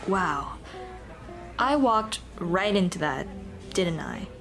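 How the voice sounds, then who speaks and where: A woman's voice: lowish voice, expressive, takes it slowly, fairly bright tone, full character voice. Woman acting a shy, earnest monster girl, music behind. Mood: earnest, warm. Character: shy, earnest female Dullahan teacher, headless monster girl on a date. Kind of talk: ASMR roleplay acting.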